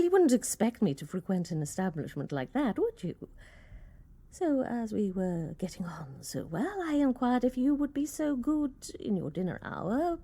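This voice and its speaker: A woman's voice: a little high, warm tone, no echo. A woman playing a self-righteous, grudge-bearing office worker in a radio play, speaking in a prim, haughty way.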